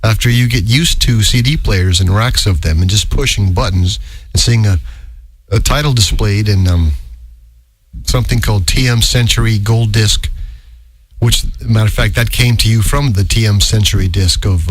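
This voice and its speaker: A man's voice: lowish voice, no room sound. A middle-aged man hosting a live radio show in a studio, chatting in a rambling, self-correcting way. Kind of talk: casual live radio patter.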